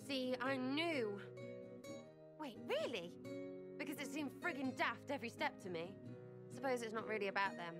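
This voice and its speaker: A cheeky young rogue woman for a video game. Her talk is clipped, slangy and irreverent, with plucked string music playing clearly behind her. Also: sits high, expressive, normal speed, long pauses. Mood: surprised, defensive. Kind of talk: scripted video game dialogue.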